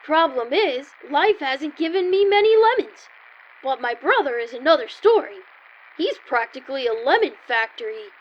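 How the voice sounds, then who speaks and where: Little boy, naive and earnest, big schemer, really high bouncy voice, old radio sketch, kinda dull sound. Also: sing-song, moderate pace. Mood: earnest, matter-of-fact. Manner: earnest, innocent, matter-of-fact. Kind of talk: old-time radio comedy sketch acting.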